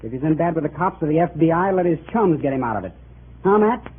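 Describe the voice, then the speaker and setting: A man's voice: fairly high pitch, animated, fast talker, husky. An actor playing a bitter, hot-tempered young Navy man in a 1950s radio drama. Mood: scornful. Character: hot-headed bitter young Navy veteran, scornful kid brother. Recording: tinny, hissy old radio recording.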